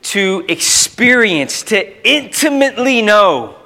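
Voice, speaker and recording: Bright, forceful male youth pastor preaching. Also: high voice, sing-song, takes it slowly, creaky. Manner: emphatic, repeats phrases for effect; conversational asides to listeners. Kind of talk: informal sermon with scripture quotation and exposition.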